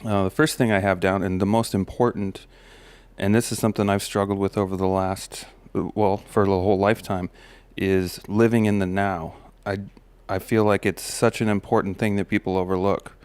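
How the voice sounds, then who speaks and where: Man, low steady voice, smooth with slight gravel, reflective host talking, dry close sound. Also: moderate pace, no echo. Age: adult parent of a daughter. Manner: relaxed, musing, many fillers and restarts. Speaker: podcast host. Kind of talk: reflective personal monologue.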